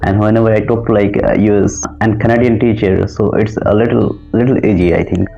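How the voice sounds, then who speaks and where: A man with an Indian accent practicing English with a tutor over a video call, polite and hesitant, pausing on "uh" and saying "ma'am". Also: lowish voice, steady tone, gravelly, warm tone. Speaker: English learner. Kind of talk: casual lesson chat. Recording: dull lo-fi call audio with faint background music.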